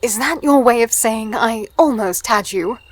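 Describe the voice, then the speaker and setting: High-voiced, plucky young-heroine fighter. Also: female voice, measured pace. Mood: feisty banter. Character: plucky, spirited small female fighter and archer. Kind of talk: first-person dramatic narration and dialogue.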